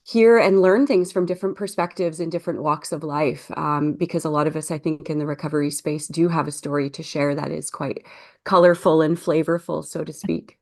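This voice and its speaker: Earnest low-pitched woman in her forties. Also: fairly even intonation, a little fast, vocal fry, a little mellow. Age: 40s. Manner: earnest, reflective, grateful. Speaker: recovery coach, guest. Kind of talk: casual interview chat.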